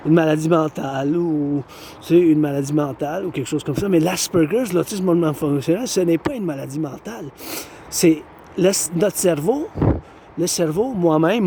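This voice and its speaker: A Québécois man talks at length outdoors in a soft, mellow voice that sits a little high for a man. He circles back to the same phrases again and again. Faint street noise hums behind him. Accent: Québécois French. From Quebec. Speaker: vlogger. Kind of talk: rambling monologue to camera.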